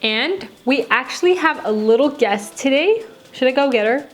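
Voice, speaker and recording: A woman hosting a children's story show, reading expressively and deliberately with dramatic pauses for young listeners. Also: high voice, lively intonation. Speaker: host and storyteller.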